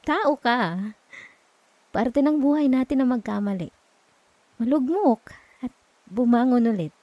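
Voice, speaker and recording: A woman with a Filipino accent playing a gentle, reassuring girlfriend in an intimate ASMR roleplay, speaking softly and tenderly close to the microphone. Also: on the high side, no room sound. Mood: gentle reassurance. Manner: soft, tender, coaxing, with murmured hums. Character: gentle, reassuring young girlfriend comforting her partner at night. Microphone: close microphone, dry.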